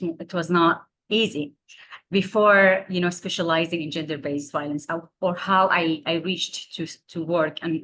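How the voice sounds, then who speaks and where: Syriac-speaking woman in her 30s giving a talk on a video call, lowish voice, earnest, searches for words. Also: fairly bright tone. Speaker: guest speaker. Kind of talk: online presentation to students.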